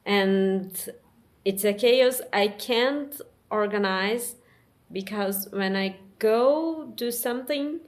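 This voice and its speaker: Slow, halting woman. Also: mid-range voice. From Brazil. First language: Portuguese. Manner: halting, searching for words, many pauses and restarts; soft-spoken, confessional. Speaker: vlogger. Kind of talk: personal reflective monologue.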